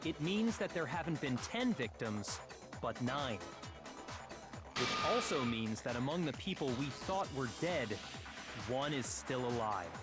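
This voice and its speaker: A man's voice: medium pitch, big pitch swings, unhurried. A man voicing a skeptical, precise young male student reasoning through a trial in an amateur game dub. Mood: methodical. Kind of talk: amateur voiced reading of game dialogue. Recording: game music behind the voice.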